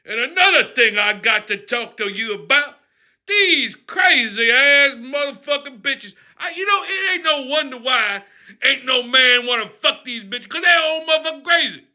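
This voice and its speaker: A man playing a profane, loudmouthed character who rants and shouts in a comedy bit. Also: very high voice, animated, moderate pace, pitched above the performer's own voice, exaggerated voice. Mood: ranting. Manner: profane ranting, shouting, mocking. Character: foul-mouthed loudmouth man named Crazy Rufus.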